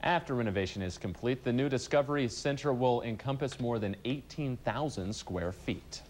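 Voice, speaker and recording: A man's voice: mid-range voice, normal speed, darkish tone. A man anchoring a local morning newscast from a studio, reading items and bantering lightly. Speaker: news anchor and reporter. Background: music bed, director's cues talking over him.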